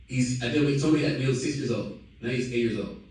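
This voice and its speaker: A man's voice: medium pitch, quick pace, darkish tone, very echoey, distant mic, low-quality recording. A man in his thirties giving a hyped, slangy motivational talk to his team on a call. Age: 30s. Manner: hyped, repetitive, slangy and exclamatory. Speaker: company leader addressing a team call.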